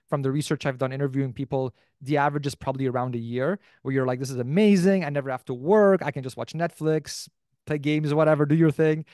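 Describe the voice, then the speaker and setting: Fast-talking Canadian man, rambling and casual. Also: medium pitch, a little mellow, no echo, clean studio sound. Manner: rambling, peppered with "like" and "you know". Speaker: podcast host as interview guest. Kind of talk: casual interview talk. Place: small indoor room, video call. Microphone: desk microphone close in front.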